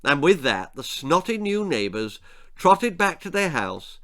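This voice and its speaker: Male English author-narrator for comic children's stories, bright, animated, dramatic. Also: a little high, unhurried. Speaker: author reading his own story.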